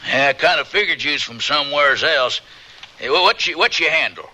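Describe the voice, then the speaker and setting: An actor playing a brash, folksy, teasing cowboy with an American West accent and drawling slang, in an old-time radio western. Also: male voice, fairly high pitch, lively intonation, fairly quick, sharp tone, heavily acted. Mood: friendly, teasing. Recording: noisy radio drama recording.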